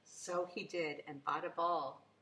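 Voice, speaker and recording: A woman reads a children's story aloud like a preschool teacher, with expressive, emphatic, theatrical phrasing. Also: low voice, slow pace. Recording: echoey room, faint background noise.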